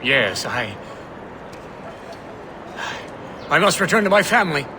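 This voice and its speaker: Elderly gentleman statesman, animated intonation, bright tone, courtly, hesitant, game dialogue, background noise. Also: fairly high pitch, a little fast. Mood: anxious, grateful. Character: genteel, elderly American statesman, courteous and flustered. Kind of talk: scripted video game dialogue.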